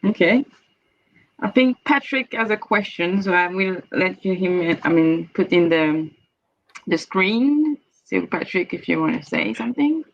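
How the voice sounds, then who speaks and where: High-voiced male moderator, brief and friendly, lo-fi audio. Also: expressive, measured pace, creaky. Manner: brief affirmations, friendly handover.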